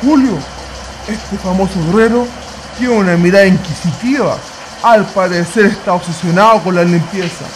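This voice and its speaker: Actor as indignant, very high-voiced traveling man. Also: moderate pace, background noise, lightly acted. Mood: resentful. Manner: indignant, grumbling. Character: irritable, complaining male traveler, quick to take offense. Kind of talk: radio drama acting.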